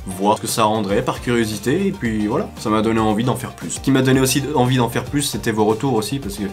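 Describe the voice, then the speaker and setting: A man who does voice acting answers fan questions in a relaxed, joking way, often hesitating, on a studio condenser mic. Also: lowish voice, quick pace, faint music behind. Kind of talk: casual chat.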